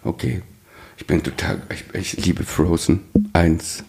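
A man's voice: deep voice, measured pace, vocal fry, darkish tone. A man chatting casually as a podcast host. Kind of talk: casual chat.

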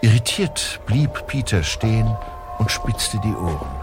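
A male narrator tells a scripted audio drama story. His low voice moves expressively over a clear music bed. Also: very animated, measured pace.

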